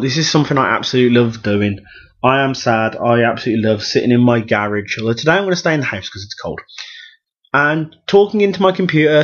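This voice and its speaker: A man with a hoarse, sore-throat voice, hosting a podcast in a chatty, enthusiastic, self-deprecating way. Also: mid-range voice. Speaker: podcast host. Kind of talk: chatty solo podcast intro and interview. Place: room at home. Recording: dull, lo-fi home podcast recording.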